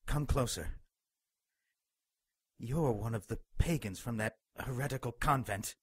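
A man's voice: medium pitch, measured pace, breathy edge. A man playing a dying, bitter, pious monk in a radio play, stern and fatalistic. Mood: stern, condemning. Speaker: radio drama actor. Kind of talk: dramatic radio play storytelling.

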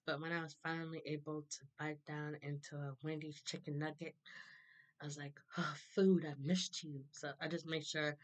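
A woman in her thirties with a hoarse, sore throat, chatting casually to a webcam, laughing often and clearing her throat. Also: on the low side, a bit bright. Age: 30s. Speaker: vlogger. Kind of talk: casual chat to camera. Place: small room indoors.

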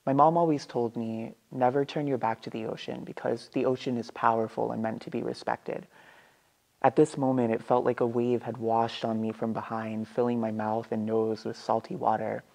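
A young man recounts his own story in an earnest, measured monologue. His voice has a gravelly fry and sits in a middle pitch. The delivery is steady and sincere. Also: low-quality recording. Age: young adult. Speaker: student storyteller. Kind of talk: performed personal monologue. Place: empty seating room indoors.

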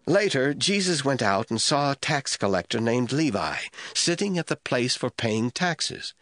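A man narrating a scripture audio drama from a script in a deliberate storytelling manner. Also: medium pitch, big pitch swings, fairly bright tone, dry recording. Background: faint music.

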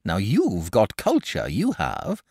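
A man's voice: on the low side, huge pitch swings, slow pace, gravelly, a little mellow, breathy edge, no room sound, clean studio sound, full character voice. A man voicing a genial, bashful male dragon who is a lazy poet, talking in a chatty, polite, eager way.

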